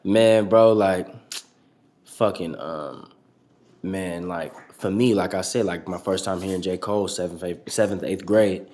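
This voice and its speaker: A man's voice: sits low, fairly even intonation, a little slow, a little mellow. A young man in his twenties, a rapper guest talking casually and profanely in an interview, rambling with lots of fillers.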